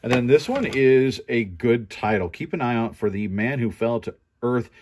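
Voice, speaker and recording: A man's voice: mid-range voice, normal speed, a little mellow, slight background noise. A man giving casual, conversational show-and-tell commentary as an online reseller going through his sales. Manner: informal, conversational.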